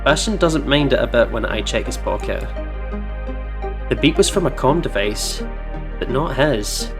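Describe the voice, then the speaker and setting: Expressive man reading a game aloud. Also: mid-range voice, unhurried. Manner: expressive, reactive, swears casually. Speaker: let's play host reading a visual novel aloud. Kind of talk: dramatic first-person narration mixed with casual banter. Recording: game music behind voice.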